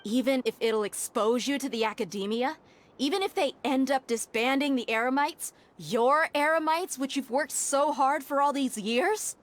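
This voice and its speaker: Woman acting a bold female mercenary, fairly high voice, blunt, firm, challenging, dramatic game dialogue, faint noise. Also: normal speed, close to an everyday voice. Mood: incredulous, challenging.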